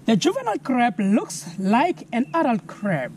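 A South African man in his thirties teaches children slowly and clearly, his pitch rising and falling in a lively way. He pauses to ask listeners to spell new words. He is recorded outdoors on a camera mic, with faint background noise. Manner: slow, clear, asks viewers to spell words. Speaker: environmental educator. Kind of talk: children's science lesson. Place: outdoors on a lawn.

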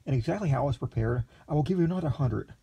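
A man reading aloud and voicing a naive, excitable young man who gushes over his food in breathless exclamations, pitched well above his own voice. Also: quick pace, vocal fry, slightly rough. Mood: bewildered.

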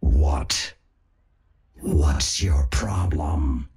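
Very deep, surly growling villain. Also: male voice, very slow, darkish tone, full character voice. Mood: surly menace. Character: menacing, surly dark mercenary.

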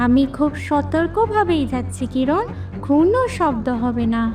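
A woman's voice: sits high, lively intonation, normal speed. A woman voicing a tiny, clever water creature in a fairy-tale cartoon, with an earnest, childlike manner. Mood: eager, confident. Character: tiny clever water creature, eager, careful little helper. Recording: distant voice with music bed.

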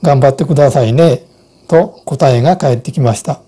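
A man in his sixties giving measured, explanatory narration from a script as an instructor. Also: medium pitch, dark tone, slightly rough.

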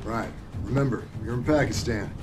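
A man playing a calm, gruff military handler in a video game, terse, slangy and authoritative. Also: mid-range voice, normal speed, music in the background, natural voice. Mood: calm, firm. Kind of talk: dramatic game voice acting.

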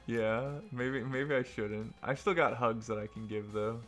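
A man's voice: mid-range voice, steady tone, measured pace, a bit bright. A man chats casually as he plays an online game. He is jokey and teasing, with a mid-range voice. Music plays behind him. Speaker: player and host of an online game video. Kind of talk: casual gaming commentary and banter.

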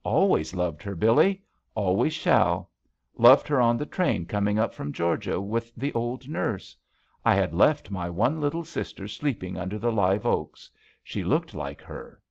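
Tender male sweetheart, read by a man, wistful, earnest, creaky, storybook romance, slightly muffled sound. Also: on the low side, sing-song, a little slow, a little mellow, exaggerated voice.

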